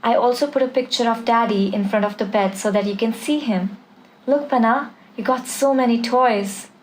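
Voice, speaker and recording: A woman's voice: on the high side, moderate pace, lightly acted, low-quality recording. A woman playing a caring, anxious seventeen-year-old girl in a film script table read. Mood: tender, hopeful.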